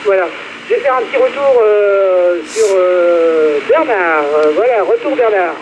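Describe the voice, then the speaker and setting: A man with a high voice speaks as an amateur radio operator in short, casual reports. He comes through a radio transmission with clear noise. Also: lively intonation, a little slow, muffled recording. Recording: noisy amateur radio reception.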